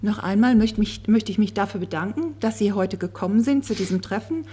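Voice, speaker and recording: A woman working as a conference interpreter, turning a speaker's words into steady, fluent speech at a table microphone in a conference room. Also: mid-range voice, brisk, a little hiss or hum. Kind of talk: consecutive interpreting.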